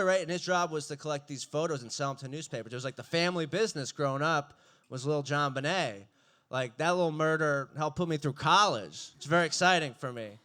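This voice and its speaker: Young man doing deadpan stand-up. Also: on the high side. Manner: dry, deadpan delivery that breaks into laughs. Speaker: stand-up comedian. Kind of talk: stand-up comedy set.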